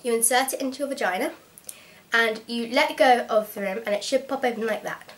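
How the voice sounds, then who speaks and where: A woman's voice: on the high side, fairly quick. A young woman with a slight cold, frankly and practically explaining a product in a casual home vlog, with plenty of ums. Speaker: vlogger.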